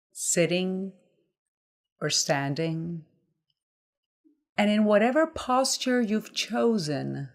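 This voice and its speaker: Slow-paced woman guiding a meditation. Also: lowish voice. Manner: measured, gently instructional phrasing with pauses. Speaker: mindfulness meditation guide. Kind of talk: guided body scan meditation.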